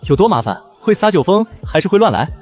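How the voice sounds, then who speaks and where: A man's voice: high voice, sing-song, fast. A man playing a chatty, easygoing young showbiz companion in a comic drama. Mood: teasing. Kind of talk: audio comic drama dialogue.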